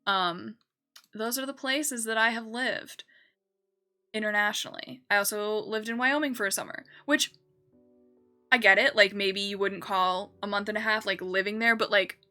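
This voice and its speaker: A woman's voice: mid-range voice, normal speed, pushed and bright, faint music behind. A young woman streamer chatting casually while building in a game, rambling and correcting herself with lots of fillers.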